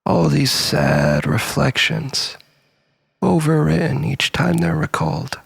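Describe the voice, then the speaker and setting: A man's voice: a little high, wildly swooping pitch, slow, creaky, husky, heavily acted. A man playing a mournful, haunted brother in grief, delivering a brooding, poetic scripted monologue. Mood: grieving, wistful.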